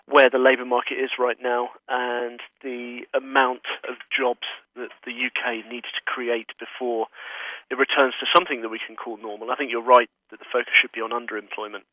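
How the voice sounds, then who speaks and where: A man speaking over a telephone line as an economist guest, giving measured, analytical answers in an interview. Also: mid-range voice, dry recording. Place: remote, on a phone call. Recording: narrow, tinny phone line.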